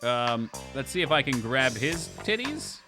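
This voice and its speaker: Very animated game streamer with music behind. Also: medium pitch, unhurried. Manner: crude, jokey, laughs a lot. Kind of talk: casual livestream playthrough, reading game dialogue aloud.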